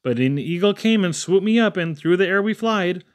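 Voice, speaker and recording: A man reading children's poems aloud expressively, with theatrical pauses and emphatic swings. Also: high voice, lively intonation. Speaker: poetry reader.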